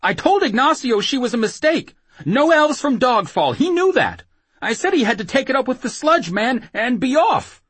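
A man's voice: very high-pitched, very animated, normal speed, fairly bright tone, breathy edge, dry recording, pitched above the performer's own voice, heavily acted. A man voicing a frightened brothel keeper in an audiobook, babbling breathlessly and pleading with feeble, sour defiance. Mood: feeble rage. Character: frightened whoremaster, rambling with feeble rage and foul-mouthed pleading.

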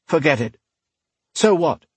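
A man voicing a wry, quietly threatening rogue in an audiobook, unhurried and mock-polite. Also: a little high, sing-song, husky, dry recording, pitched above the performer's own voice, exaggerated voice. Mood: dry, dismissive. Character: cool, menacing male rogue with dagger, wryly amused. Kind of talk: dramatic audiobook character voice.

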